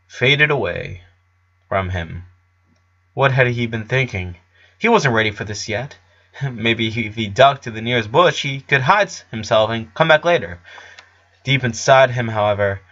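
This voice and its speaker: A man's voice: mid-range voice, fairly bright tone, dull audio. A man reading a story aloud as narrator, in an amateur storytelling style.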